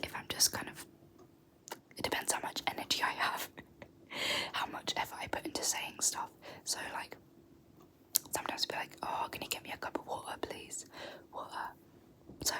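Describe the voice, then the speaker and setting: A young British woman whispering softly in an ASMR video, repeating words slowly with small laughs. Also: low-quality recording. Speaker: ASMR creator. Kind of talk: whispered ASMR word pronunciation.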